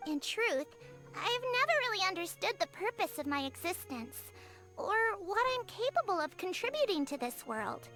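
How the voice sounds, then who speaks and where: High, childlike, earnest young fairy creature. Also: female voice, sing-song, normal speed, fairly bright tone, full character voice. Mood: wistful, hurt. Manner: polite, earnest. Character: young gentle female fairy-like creature, earnest, innocent, hopeful. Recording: music behind the voice.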